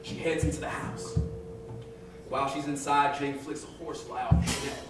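A man in his thirties giving an expressive, colloquial dramatic reading of first-person fiction, acting out the dialogue on stage. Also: on the high side, normal speed. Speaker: story reader. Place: arts venue stage, live with musicians. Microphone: studio-style microphone on a stand. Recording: echoey live room, distant, loud percussion. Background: live drum and percussion music.

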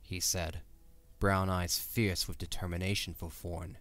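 A man's voice: low-pitched, flat delivery, a little slow, a bit bright. A man narrating a scripted story for an audio drama. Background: music.

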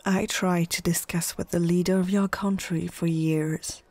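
A woman playing a stern, proud female orc chieftain in a whispered ASMR fantasy roleplay, speaking in short, measured phrases with a husky, breathy voice. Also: on the low side, fairly even intonation, a little mellow, dry recording. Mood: grave.

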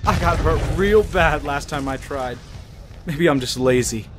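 A man's voice: fairly high pitch, measured pace, a bit bright, a little hiss or hum. A man playing a dim, lazy, easygoing army private in a comedic radio play, slack and naive. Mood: dopey.

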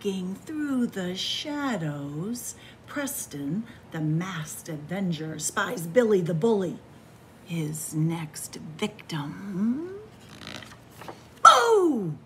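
Middle aged lady reading to kids, super lively pitch, dramatic whispery bits, faint room noise. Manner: dramatic, with hushed whispers and drawn-out words. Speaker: library story time host. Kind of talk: expressive picture-book read-aloud for children. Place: small library room with bookshelves, indoors.

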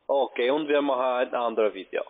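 A man with a foreign accent, speaking halting, broken phrases in a phone conversation as a call centre agent. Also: mid-range voice. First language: not German. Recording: tinny, narrow phone line.

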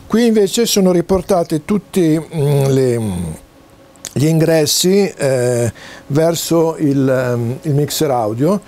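A man gives an informal, halting technical explanation to camera, pausing often as he searches for words. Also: a little high, dark tone. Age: 60s. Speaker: presenter demonstrating home-built audio and video gear. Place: small cluttered home study, indoors.

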